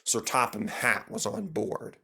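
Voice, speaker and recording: A man's voice: lowish voice, a little slow, sharp tone, husky. A man reading a scripted children's story as its narrator.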